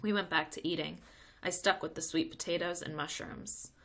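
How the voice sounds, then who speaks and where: Bright-voiced woman reading a storybook aloud. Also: lowish voice, moderate pace. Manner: expressive reading. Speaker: teacher reading a chapter book aloud. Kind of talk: read-aloud storytelling for children.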